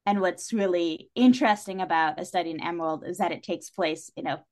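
A woman's voice: fairly low pitch, a little fast, darkish tone. Effusive, opinionated woman podcast guest. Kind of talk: casual podcast discussion.

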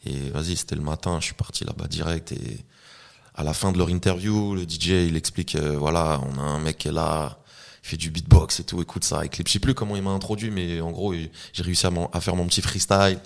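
A man's voice: low voice, steady tone, quick pace, vocal fry. A man, a beatboxer, telling stories in a laid-back, slangy, casual chat. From France. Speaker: beatboxer guest.

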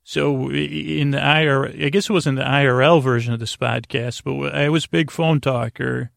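A man with a creaky voice hosting a bedtime podcast, rambling in a halting way and often interrupting himself on tangents. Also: mid-range voice, fairly even intonation, a bit bright, no room sound, clean studio sound. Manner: meandering, hesitant, halting self-interruptions. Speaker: podcast host.

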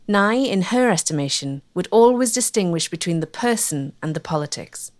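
A woman giving measured, scripted documentary narration. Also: lowish voice, lively intonation. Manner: clear, measured storytelling.

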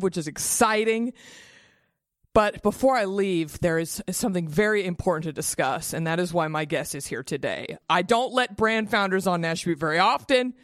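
A woman's voice: medium pitch, fairly bright tone, no room sound, clean studio sound. A woman in her thirties hosting a comedic beauty podcast in a bubbly, theatrical, playful way over a video call. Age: 30s. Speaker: podcast host.

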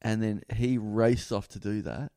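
A man's voice: lowish voice, fairly even intonation, unhurried, warm tone, dry recording, studio quality. A man co-hosting a comedy podcast, telling a long story in a rambling way and often repeating himself. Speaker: podcast co-host.

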